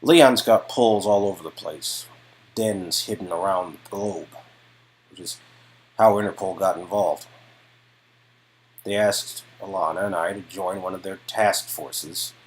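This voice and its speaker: Weary male detective, acted by a man, deep raspy voice, guarded and halting, story-game dialogue. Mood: grave, intense. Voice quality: low and raspy.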